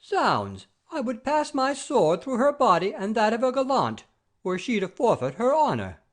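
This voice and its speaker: A man's voice: very high-pitched, huge pitch swings, moderate pace, heavily acted. An actor playing a proud, blustering baron and father-in-law in a theatrical comedy audio play, pompous and declamatory. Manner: pompous, oath-swearing, declamatory.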